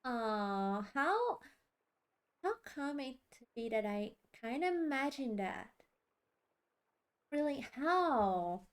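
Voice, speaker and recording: Slow-paced, playful woman streamer, high voice. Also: sing-song, smooth. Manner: rambling, playful. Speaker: video game streamer. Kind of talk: casual live gameplay chat.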